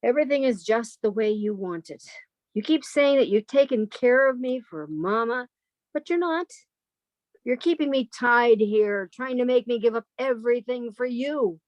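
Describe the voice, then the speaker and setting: Yearning country spinster, thirties woman acting, earnest higher voice, dry studio sound, radio melodrama. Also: expressive, normal speed, no room sound, muffled recording, close to an everyday voice. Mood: defiant.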